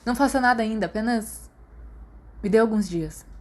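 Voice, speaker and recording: A woman's voice: on the high side, moderate pace, pitched above the performer's own voice, lightly acted. A woman voicing a guarded, anxious teenage schoolboy in an audiobook, blunt and sceptical. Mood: worried, pleading. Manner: blunt, wary. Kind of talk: audiobook character dialogue.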